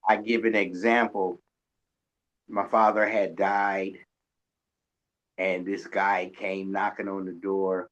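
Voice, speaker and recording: A man's voice: lowish voice, highs cut off. A man hosting a radio show, chatting casually in a folksy, colloquial storytelling way. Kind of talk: casual interview chat.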